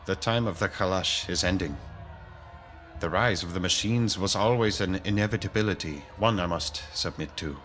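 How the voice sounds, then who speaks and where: A weary old warrior, acted by a man speaks in a low, grave voice. He sounds dignified and resigned in this radio drama, with faint music behind him. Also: normal speed, a bit bright. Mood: grave resignation.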